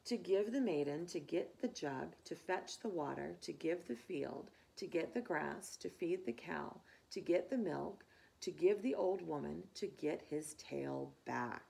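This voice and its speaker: A woman reads a children's story aloud like a teacher with her class. Her voice is fairly low with a creaky edge, and she moves slowly and expressively. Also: poor audio. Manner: expressive, deliberate.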